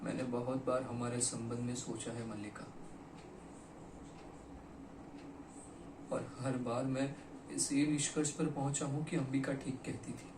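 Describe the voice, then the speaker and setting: A man performing a theatrical monologue as a broken, regretful poet, speaking dramatically and deliberately with weighted pauses. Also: mid-range voice, little pitch movement, close to an everyday voice. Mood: pensive, regretful. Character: broken, regretful poet confessing to his lost beloved. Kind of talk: theatrical Hindi stage monologue. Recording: echoey room, distant mic, faint noise.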